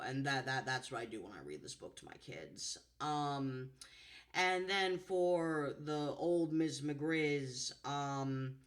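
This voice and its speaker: A woman with a low contralto voice reading a storybook and chatting afterwards about her voices, expressive and playful. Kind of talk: storybook narration and chat.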